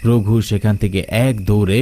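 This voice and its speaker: A man's voice: lowish voice, fairly even intonation, normal speed, dark tone. A man narrating a scripted horror story in a dramatic storytelling style.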